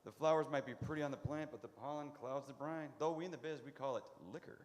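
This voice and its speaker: A man's voice: a little high, quick pace, natural voice. A man playing an eccentric, proud and touchy pickle fanatic who lectures boastfully in a live radio comedy. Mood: lecturing, touchy. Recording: noisy, reverberant hall stage recording.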